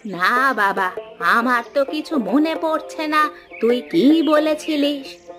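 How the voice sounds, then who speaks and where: A woman voicing a doting, fussing village mother of a grown son in a cartoon. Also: high voice. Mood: puzzled. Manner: fussing, tender, slow questioning. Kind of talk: Bengali cartoon voice acting. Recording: music under the voice.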